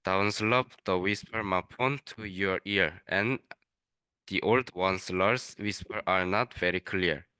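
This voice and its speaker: A young man, a native Korean speaker, reading a rhyming children's story aloud haltingly, stumbling over words. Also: low-pitched, pushed and bright, clean tone, no room sound. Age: young adult. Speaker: student reader.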